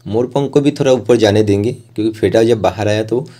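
A man with a low, very mellow voice talks through an art tutorial step by step. His delivery is plain and informal, like an instructor explaining each stage of the work. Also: brisk.

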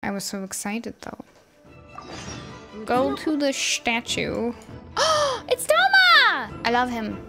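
A woman streaming a game with casual, playful, animated commentary, exclaiming and teasing as she goes. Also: high voice, takes it slowly, creaky, long pauses. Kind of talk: casual live gameplay commentary. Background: game music.

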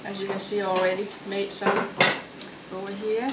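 A middle-aged woman with a Danish accent, giving a casual, unhurried cooking demonstration step by step. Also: mid-range voice, little pitch movement, highs cut off. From Denmark. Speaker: home cook and cooking show host. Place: home kitchen, indoors. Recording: echoey room, loud noise, kitchen clatter.

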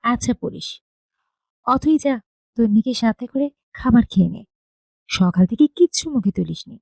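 A woman's voice: a little high, lively intonation, normal speed, gravelly, dark tone, no room sound, pitched below the performer's own voice, poor audio. A woman voicing a middle-aged mother who scolds fondly. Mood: scolding. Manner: fond scolding.

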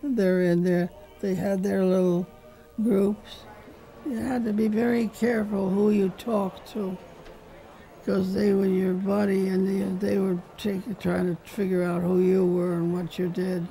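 An older woman with a gravelly, mellow voice reminisces in a flat, plainspoken way. She is interviewed in a living room, with soft music playing underneath. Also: mid-range voice, a little slow, poor audio. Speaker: interviewee, veteran. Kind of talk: documentary interview recollection.

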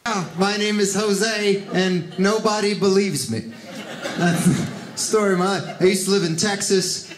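An animated man in his thirties with a fairly high voice tells stand-up stories into a handheld mic. He is from Cuba, raised in Miami. His voice carries through a roomy theater, with clear audience noise behind him. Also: unhurried. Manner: animated, jumps into high shouted voices for characters. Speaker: stand-up comedian. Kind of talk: stand-up set with character voices. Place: theater stage before a live audience.

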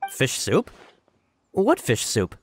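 A man's voice: medium pitch, wildly swooping pitch, slow, slight background noise. A man voicing an earnest, flustered young subordinate who speaks deferentially in a video game. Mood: shocked, insistent.

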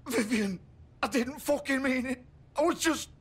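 A man's voice: extremely high pitch, fairly bright tone, slightly rough, full character voice. A man playing a foul-mouthed, wounded crook in a video game, bitter, desperate and volatile. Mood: anguished. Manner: slow, sweary, emotionally volatile. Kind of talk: dramatic video game acting. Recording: music playing behind.

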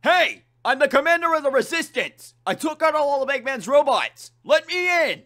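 A man voicing a gruff, hot-headed echidna commander, blunt and impatient, in a comic dub. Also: very high voice, expressive, normal speed, sharp tone, full character voice. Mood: angry, forceful. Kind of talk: amateur comic dub acting. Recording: music behind the voice.

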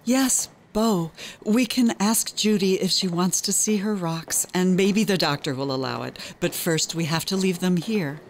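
Actress as soft caring mom in audio drama, talks slow, bit of noise. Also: female voice, lowish voice. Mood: patient, coaxing. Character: gentle, devoted mother of a troubled girl.

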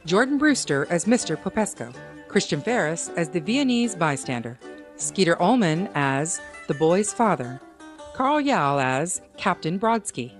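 A woman's voice: lowish voice, big pitch swings, unhurried. A woman reading scripted credits as the announcer of a radio drama. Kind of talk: scripted narration. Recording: faint plucked-string music behind.

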